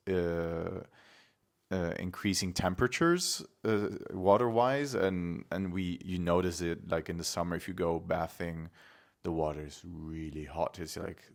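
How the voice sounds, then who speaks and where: A very deep-voiced young man from Italy speaks as a podcast guest. He talks casually and thoughtfully, pausing often with fillers. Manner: relaxed, thoughtful, many fillers. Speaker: podcast guest, climate activist. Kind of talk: casual conversation.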